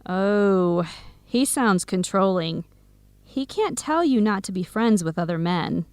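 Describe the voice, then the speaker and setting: An actress playing a caring, outspoken young best friend in a radio drama. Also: female voice, mid-range voice, lively intonation, takes it slowly, smooth, no room sound. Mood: disapproving. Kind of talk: radio drama acting, simulated video call.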